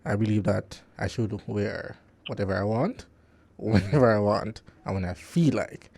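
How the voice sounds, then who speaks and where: A man chats on a radio show in an easygoing way, chuckling now and then. His voice is low, mellow and gravelly with fry. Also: moderate pace. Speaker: studio engineer, guest on the show. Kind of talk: casual radio talk show chat.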